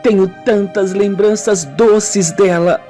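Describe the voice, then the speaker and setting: A woman acting a slow, wounded Brazilian woman confessing. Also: medium pitch, fairly even intonation, mellow, close to an everyday voice. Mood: tender, nostalgic. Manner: slow, melodramatic, deliberate storytelling. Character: Simone, wounded woman confessing her painful childhood, slow and brooding. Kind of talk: amateur radio soap acting. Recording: music bed under the voice.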